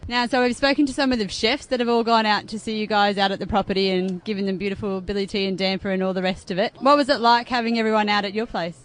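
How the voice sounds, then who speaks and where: A woman interviewing people at an outdoor food event, asking lively, chatty questions. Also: mid-range voice, quick pace, hardly any pauses. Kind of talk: radio interview.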